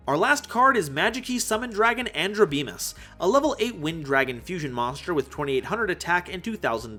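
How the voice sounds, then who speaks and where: A man presenting scripted card game commentary in an animated, playful way, with conversational asides. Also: high voice. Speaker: host of a card game analysis channel.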